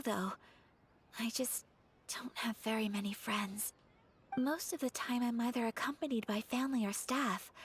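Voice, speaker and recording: A woman playing a shy, earnest young noblewoman in an anime game, speaking formally and gently. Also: a little high, a little hiss or hum, lightly acted. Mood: shy, downcast.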